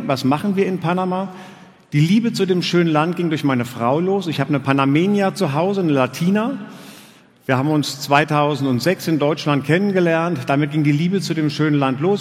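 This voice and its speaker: Middle-aged man presenting in a reverberant hall. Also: fairly high pitch. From Frankfurt, Germany. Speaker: guest speaker, investment advisor. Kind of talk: sales presentation to an audience. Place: large hall, on stage.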